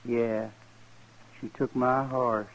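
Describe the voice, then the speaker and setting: Broken older frontier husband , played by a male actor, mid-pitched, halting plain rustic talk, dazed and grieving, vintage radio western. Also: level tone, slight background noise, lightly acted, rough recording. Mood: broken, ashamed. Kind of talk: old-time radio western acting.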